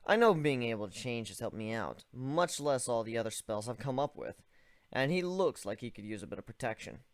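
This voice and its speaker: A man's voice: medium pitch, lively intonation, fairly quick, no echo. A man giving a first-person dramatic reading of a story, with a conversational, wry delivery. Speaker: story reader.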